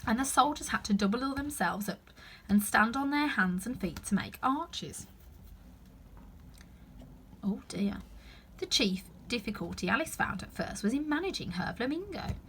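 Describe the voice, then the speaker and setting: Animated woman reading a storybook aloud. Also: a little high, normal speed, long pauses, slight background noise. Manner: animated, dramatic. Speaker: storyteller reading a children's book. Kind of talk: expressive read-aloud narration.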